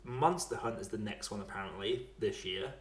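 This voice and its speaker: A man's voice: fairly low pitch, moderate pace. A British man chatting casually and informally as the host of a film podcast. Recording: roomy echo.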